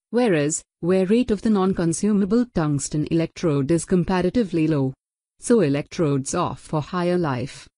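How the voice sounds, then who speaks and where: A woman narrating a scripted technical lesson as a voice-over, in an even, measured reading. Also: lowish voice, no echo. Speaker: lecturer.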